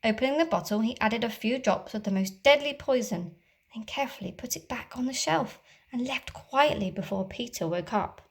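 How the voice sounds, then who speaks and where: A woman teacher reading a children's storybook aloud, with expressive, dramatic storytelling. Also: on the high side, normal speed, gravelly.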